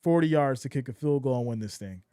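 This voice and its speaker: A man doing live fan play-by-play of a football game, excitable and losing his voice, swinging from shouts to deflated mutters. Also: medium pitch, quick pace, smooth, no room sound, clean studio sound.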